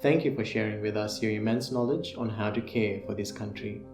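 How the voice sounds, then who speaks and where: A man's voice: fairly low pitch, a little fast, darkish tone, echoey room, soft background music. A man from Fiji earnestly reading a scripted self-introduction as a podcast co-host.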